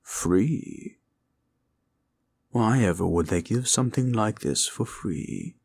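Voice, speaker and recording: A man performs a gentle, noble dragon in an intimate fantasy roleplay. The voice creaks and moves very slowly, with wide swells of pitch. The phrasing is formal and solemn, full of protective devotion. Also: animated, full character voice. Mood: calm, measured. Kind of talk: intimate comfort roleplay audio.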